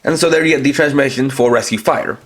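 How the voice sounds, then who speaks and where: A man's voice: medium pitch, fast talker. A man reviewing toys, walking through each feature in a methodical, step-by-step way. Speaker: toy reviewer. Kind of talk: toy review demonstration.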